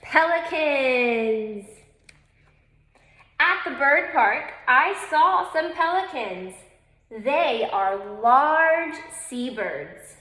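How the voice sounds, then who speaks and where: Woman, elementary teacher, for a children's read-aloud; unhurried, instructive delivery speaking straight to kids, in an echoey room. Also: sits high, lively intonation, sharp tone. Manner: instructive, addresses young listeners directly. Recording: roomy, echoing indoor sound.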